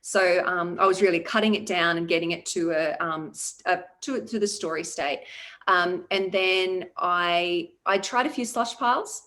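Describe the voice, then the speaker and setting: A woman in her forties chats as an interview guest about her writing life. She talks in a reflective, easygoing way with plenty of ums, her voice sitting a little low. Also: moderate pace, a bit bright. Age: 40s. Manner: reflective, chatty, many ums. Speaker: debut novelist guest. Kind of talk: conversational interview.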